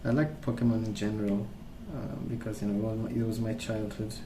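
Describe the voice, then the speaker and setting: A young man speaks softly in a lowish voice, as an ASMR creator rambling along. His manner is gentle and unhurried, as if thinking aloud. The room is echoey, with clear background noise behind him. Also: little pitch movement. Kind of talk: soft-spoken ASMR rambling.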